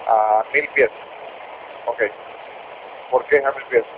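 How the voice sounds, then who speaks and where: A man's voice: medium pitch, fairly even intonation, takes it slowly. A Venezuelan man, an airline pilot talking in the cockpit and making radio calls. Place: aircraft cockpit. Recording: muffled phone-like cockpit recorder, clear noise. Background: cockpit noise.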